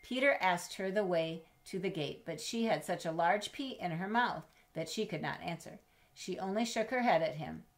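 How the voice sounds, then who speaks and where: Middle-aged female storybook reader, slightly low pitch, measured and expressive delivery aimed at small children. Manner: expressive, measured, speaking to young listeners. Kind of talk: children's read-aloud with chatty asides. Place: indoors in a home room with bookshelves.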